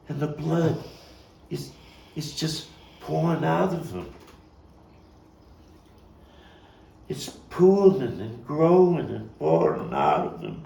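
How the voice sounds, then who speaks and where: A man performing a dramatic stage monologue as a vengeful young gang member, profane and intense, halting and repeating his words. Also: a little high, creaky, dark tone, full character voice. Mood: anguished, shaken. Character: volatile, vengeful male street gang youth recounting a bloody fight. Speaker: actor and playwright performing his own monologue. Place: echoey room, table read. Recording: echoey, noisy room, distant mic.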